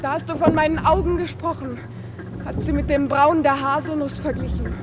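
A woman's voice: high voice, fairly even intonation, moderate pace, natural voice. An actress in a German radio play as a wistful, reproachful woman in love. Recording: loud street noise behind.

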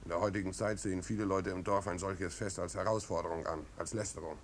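A man's voice: fairly even intonation, quick pace. An actor playing a humble, plain-spoken village man, earnest, firm and deferential, in a 1950s German radio drama. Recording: old radio recording, rumbling background noise.

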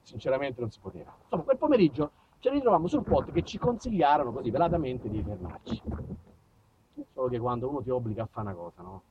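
Animated male storyteller, muffled sound. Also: mid-range voice, fast talker, noisy background. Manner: colloquial, conversational asides to listeners. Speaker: storyteller, monologue performer. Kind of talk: theatrical autobiographical narration.

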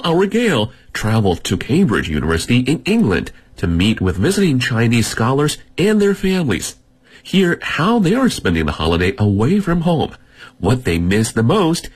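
A man with a husky voice, presenting a scripted radio introduction in an animated way. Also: mid-range voice. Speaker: radio host.

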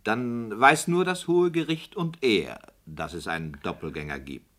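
A man's voice: on the low side, lively intonation, measured pace, a bit bright. An actor playing a man who questions the story and objects to the injustice. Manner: inquisitive, indignant. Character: male listener questioning the story. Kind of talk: radio drama acting.

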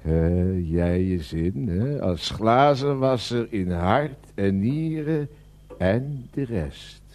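Fussy window-cleaner Joost. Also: male voice, lowish voice, animated, very slow, darkish tone. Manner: agitated, insistent, theatrical. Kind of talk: radio play acting.

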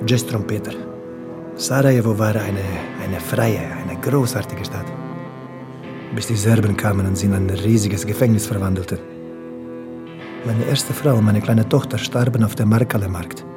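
An actor playing a haunted war refugee, making a grave, halting confession in a radio drama. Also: male voice, lowish voice, close to an everyday voice. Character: haunted Bosnian war refugee confessing, former jazz trumpeter. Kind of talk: German radio drama acting. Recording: music behind the voice.

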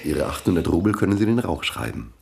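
An actor in a German radio play, playing a hot-tempered, grandiose village priest who speaks with commanding force. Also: male voice, low-pitched, sing-song, quick pace, creaky, full character voice.

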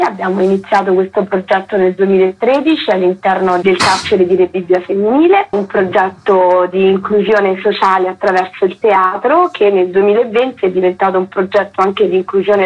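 A woman with a husky voice of medium pitch, heard through slightly muffled radio audio. She explains her work at length, as a director interviewed on air. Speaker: theatre director, interview guest.

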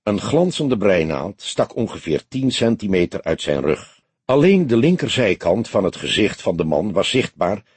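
A man's voice: lowish voice, sing-song, moderate pace, husky, no echo. A man narrating a scripted crime story as an audiobook reader.

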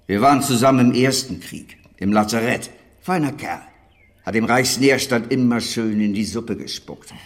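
Radio drama: male actor as middle-aged master farmer, gruff but hearty, wry, plain-spoken, naturalistic delivery. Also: medium pitch, moderate pace, lightly acted. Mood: matter-of-fact, wry. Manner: earthy, colloquial, wry, chuckling asides. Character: gruff, hearty older dairy master, fatherly to his former apprentice.

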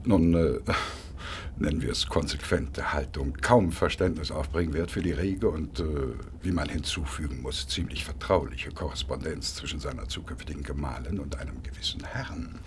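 An actor playing a suave, smug blackmailer in a radio drama, speaking with unctuous mock politeness and drawn-out hesitations. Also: male voice, extremely low pitch, background noise. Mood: smug insinuation. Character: suave, smug male blackmailer, oily and coolly menacing.

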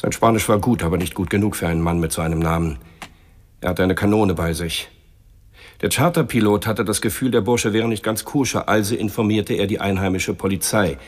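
Actor as a low, husky, menacing police inspector. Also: male voice, fairly quick, natural voice. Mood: smug, pressing. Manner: condescending, threatening. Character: gruff, menacing male police inspector, smugly hostile. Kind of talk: radio crime drama dialogue.

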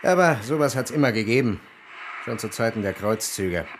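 A man's voice: on the low side, lively intonation, moderate pace, close to an everyday voice. An actor playing a dry, wry, businesslike male police forensic technician in naturalistic radio drama phone dialogue. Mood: wry, matter-of-fact. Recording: noisy background ambience.

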